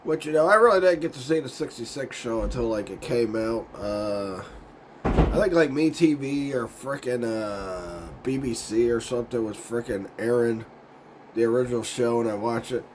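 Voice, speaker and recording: Guy with a head cold, raspy hoarse voice, just rambling on his channel, stumbles over words, chuckles a lot. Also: mid-range voice, moderate pace, a little hiss or hum. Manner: laid-back and meandering, loses his words, chuckles often. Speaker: YouTube show host. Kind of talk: casual rambling vlog chat.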